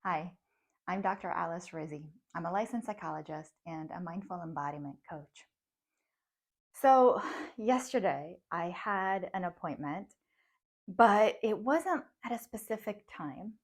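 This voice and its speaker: Woman in her thirties, psychologist and coach, first language Russian; reflective talk to camera, quick laughs between thoughts. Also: fairly low pitch, lively intonation. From grew up in the United States from age ten, born abroad.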